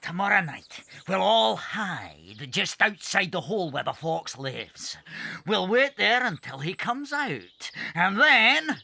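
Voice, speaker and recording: An actor playing a thin, cranky, scheming farmer villain with a raspy, strained voice in a children's audio drama. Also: male voice, high-pitched, big pitch swings, slow, bright, forceful tone, full character voice. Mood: vicious scheming. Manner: snarling, cranky, crafty. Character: thin, irritable, scheming male cider-drinking farmer villain.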